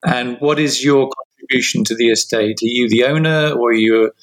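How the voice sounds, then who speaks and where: A man hosting a podcast, asking easygoing questions in a relaxed, chatty interview manner. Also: mid-range voice, normal speed, poor audio. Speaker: podcast host.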